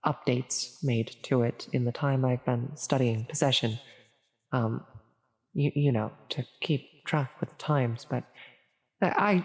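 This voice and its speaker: A woman's voice: deep voice, a little mellow. A woman playing a flustered, well-meaning female demon tempter in an ASMR audio roleplay, hesitant and stammering as she coaxes. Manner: hesitant, halting, stammering, coaxing. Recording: long reverberant echo on the voice.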